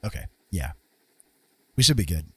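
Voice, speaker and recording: A man's voice: low voice, sing-song, moderate pace, breathy edge, no room sound. A man hosting a livestream, talking in a casual, unscripted, rambling and conversational way. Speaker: live streamer hosting a chat stream.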